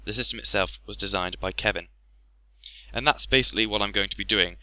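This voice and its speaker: A man's voice: on the low side, fast talker, pushed and bright, dry recording, low-quality recording. A man narrating a video with scripted explanations of terms.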